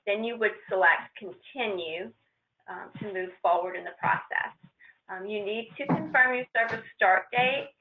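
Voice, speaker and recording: A woman presenting on a training call, walking informally through a procedure step by step in a halting, hesitant way. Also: mid-range voice. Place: conference call with shared screen. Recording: roomy, muffled conference-call line.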